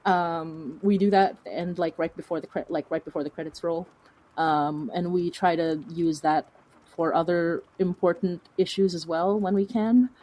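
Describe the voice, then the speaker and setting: Woman podcast guest chatting, kinda low mellow voice, earnest and thoughtful. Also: steady tone, moderate pace. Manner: earnest, reflective. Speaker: guest, fiction podcast creator. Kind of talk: conversational interview answers.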